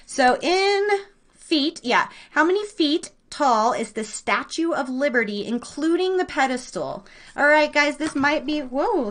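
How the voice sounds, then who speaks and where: High-pitched woman hosting trivia. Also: fairly bright tone. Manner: informal, slangy, chatty. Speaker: live show host running a trivia quiz. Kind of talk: casual live-stream trivia chat with listeners.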